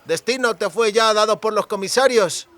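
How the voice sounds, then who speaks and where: Audiobook role: boisterous drunk country lad, very high strained voice, bright and forceful, rustic speech. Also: male voice, normal speed, dry recording, some chatter behind. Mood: chatty, grumbling. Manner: exclamatory, colloquial rustic speech with clipped words. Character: drunken, boisterous young cooper, rustic and friendly.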